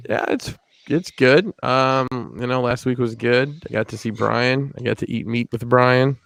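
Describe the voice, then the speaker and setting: A man's voice: medium pitch, steady tone, measured pace, gravelly, fairly bright tone, dry recording. A man co-hosting a podcast, telling rambling stories with crude jokes in casual chat. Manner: joking, rambling, crude humor. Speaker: podcast co-host.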